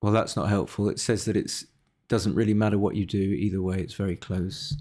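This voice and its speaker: A man's voice: sits low, steady tone, brisk, darkish tone. A man giving casual live game commentary as a streamer, hesitant and thinking aloud as he goes. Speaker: livestreamer analysing his backgammon games.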